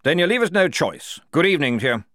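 A man's voice: fairly high pitch, big pitch swings, fast talker, fairly bright tone, no echo, voice pushed up. A man voicing a stiff, pompous male officer who speaks formally and curtly. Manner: formal and curt.

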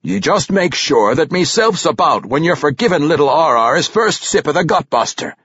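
Hearty old dwarf king, lively brogue. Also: normal speed, slightly rough, dry recording, muffled recording, full character voice. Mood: sly, fond. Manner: booming, rustic dialect. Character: hearty old grey-bearded male dwarf king, genial and sly.

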